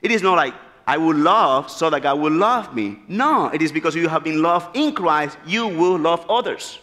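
A man in his thirties from the Republic, preaching an earnest, animated sermon at a church pulpit. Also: a little high, normal speed. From Dominican Republic. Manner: animated, gesturing freely, earnest storytelling. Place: large church sanctuary, at a pulpit. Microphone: pulpit mic. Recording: long church hall reverb.

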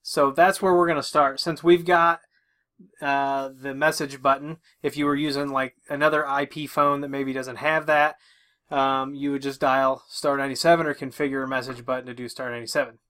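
A man's voice: medium pitch, level tone, normal speed, sharp tone. A middle-aged man giving an informal tech walkthrough, talking through each step as he goes. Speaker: tech tutorial host. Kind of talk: casual instructional walkthrough. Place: small room with tech equipment, indoors.

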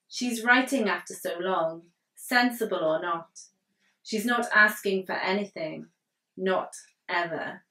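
Slow-reading woman poet in an echoey room. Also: medium pitch. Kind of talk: poetry reading. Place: living room, indoors on a sofa. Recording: roomy living-room echo, distant mic.

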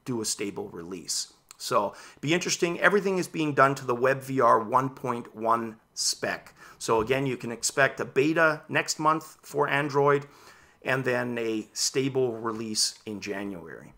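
A man's voice: mid-range voice, moderate pace. A man in his thirties whose first language is Dutch, casually presenting VR news and his own opinions to camera from a home room full of game shelves, gesturing as he talks. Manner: animated, gestures while talking. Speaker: VR news vlogger.

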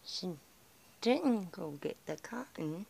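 An American woman talks to squirrels outside her house, her voice soft and hushed at times, then rising again. She speaks slowly, and her pitch moves around a lot. The handheld camera picks up a faint hiss behind her. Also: lively intonation, creaky. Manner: hushed, chatty, talking to the animals. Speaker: vlogger feeding squirrels. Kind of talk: casual commentary while filming. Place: outdoors by a house, on snowy steps.

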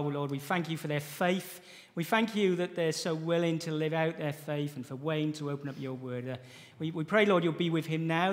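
A man leading a church service, reading scripture aloud in an earnest, measured way and praying. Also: fairly high pitch. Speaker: church leader introducing the preacher. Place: church sanctuary with wooden panelling. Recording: long reverb of a church hall.

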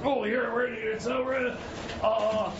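A man's voice: very high voice, level tone, slow pace. A man playing a frazzled, bumbling buddy on a prison visit in comedy radio theater, stammering and breaking off sentences. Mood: stammering, helpful. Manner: stammering, hesitant, scattered, constant broken-off starts. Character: Jeb, frazzled bumbling male friend visiting jailed pal. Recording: muffled lo-fi audio with loud noise.

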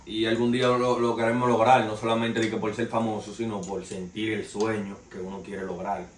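A man's voice: on the low side, little pitch movement, brisk. A young Dominican man with a Dominican Spanish accent, hosting a casual gossip podcast with loose, slangy storytelling and calling his listeners "señores". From Dominican Republic. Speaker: podcast host. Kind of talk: casual entertainment news commentary. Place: small living room, indoors, seated on a sofa. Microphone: far camera, no mic seen. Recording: roomy echo, distant mic, faint noise.

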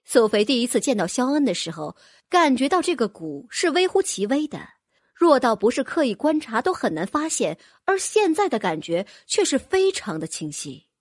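A woman narrating a fantasy audiobook in a lively, expressive way. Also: high voice, normal speed, dry recording, clean studio sound. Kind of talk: scripted fantasy novel narration.

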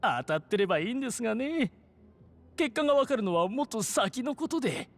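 A cowardly male thief whose pitch swoops widely. He is theatrical and slippery, able to puff himself up pompously or shrink into meekness, in game cutscene style. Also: very animated, fairly quick, exaggerated voice. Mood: sheepish. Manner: theatrical, swinging from pompous to meek. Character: shifty cowardly male pickpocket, boastful then grovelling. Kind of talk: video game cutscene acting.

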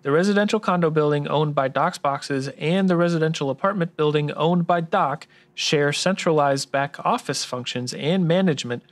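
Man acting higher-voiced, orderly expert sidekick. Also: moderate pace, hardly any pauses, natural voice. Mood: helpful. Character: obliging male tax explainer sidekick in radio noir. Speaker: tax expert co-host. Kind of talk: scripted technical explanation in radio drama.

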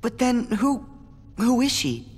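A man's voice: very high-pitched, big pitch swings, slow pace, darkish tone, slightly rough, in a large hall, exaggerated voice. An actor playing an earnest, kind-hearted teenage boy hero in a video game, sincere and easily bewildered. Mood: confused.

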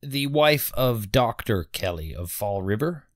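A formal 1890s prosecutor, played by a woman, with a very deep voice. The questions are precise and methodical, with lively rises and falls, in a dramatic reading of a trial. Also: measured pace. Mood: probing, formal. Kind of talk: dramatic reading of a court transcript.

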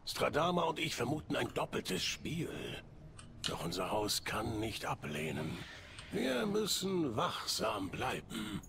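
A brooding fantasy game alchemist speaks slowly and solemnly in a gravelly voice. His wary, theatrical lines sweep up and down over music. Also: medium pitch, big pitch swings, background noise, exaggerated voice. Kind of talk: scripted fantasy game acting.

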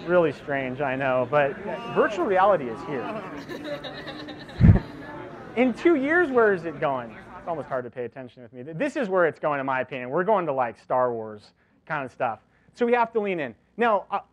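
Casual, energetic man giving a keynote. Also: fairly high pitch. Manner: energetic, casual and chatty, many "like" fillers. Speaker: keynote speaker. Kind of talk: conference keynote talk. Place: large lecture hall, indoors. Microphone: lapel mic.

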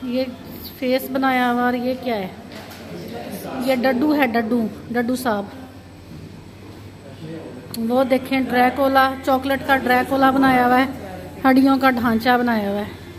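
A woman's voice: high voice, little pitch movement, measured pace, long pauses, crowd noise. A woman from Pakistan, narrating a casual walking tour as a vlogger and pointing things out as she goes, recorded on a handheld phone. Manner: pointing things out, repeating "look at this". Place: chocolate shop and busy shopping street.

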